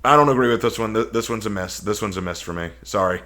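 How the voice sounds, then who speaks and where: A man's voice: fairly low pitch, fast, bright, forceful tone. A man giving casual, opinionated video commentary, arguing emphatically with sarcasm and incredulous rhetorical questions. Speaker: video commentator hosting a response video.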